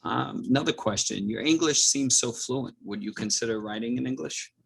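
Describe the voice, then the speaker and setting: A man's voice: mid-range voice, moderate pace, creaky, fairly bright tone, husky, poor audio. A man moderating a library talk over a video call, reading out audience questions politely. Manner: polite, reads questions aloud.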